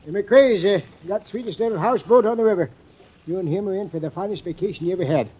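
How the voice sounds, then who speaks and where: An actor playing a folksy, hesitant old boathouse proprietor in a 1940s radio drama. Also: male voice, sits high, quick pace, husky. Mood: folksy, chatty. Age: older. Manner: folksy, hesitant, chuckling drawl. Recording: muffled 1940s radio recording.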